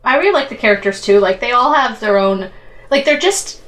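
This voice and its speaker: A woman's voice: fairly high pitch, lively intonation, brisk, fairly bright tone. A woman from Canada co-hosting a podcast, giving reviews in informal, upbeat fan chat. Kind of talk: casual chat reviewing TV shows.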